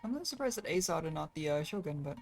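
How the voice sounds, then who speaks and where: Low-pitched woman chatting over a game. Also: very animated, rapid-fire, vocal fry. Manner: chatty, hedging, thinks aloud. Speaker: game streamer. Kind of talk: casual live game commentary. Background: faint game music.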